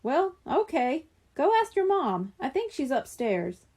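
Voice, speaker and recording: A woman's voice: high-pitched, big pitch swings, measured pace, a little mellow. A woman voicing an easygoing, puzzled father in a children's audiobook. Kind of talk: children's audiobook character dialogue.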